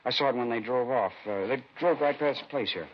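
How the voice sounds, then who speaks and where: An actor playing a rattled, plainspoken bar owner giving witness answers in a 1950s radio drama. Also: male voice, medium pitch, fairly quick, close to an everyday voice. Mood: uneasy. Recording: muffled, noisy old radio recording.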